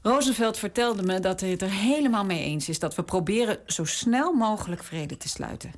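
An earnest, dutiful princess. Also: female voice, medium pitch, expressive, moderate pace. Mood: gentle. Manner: gentle, deferential, earnest. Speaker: actress in a radio drama. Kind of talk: radio drama acting.

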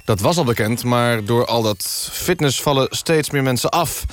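A man's voice: mid-range voice, normal speed. A man presenting a scripted mock news magazine on the radio as its host.

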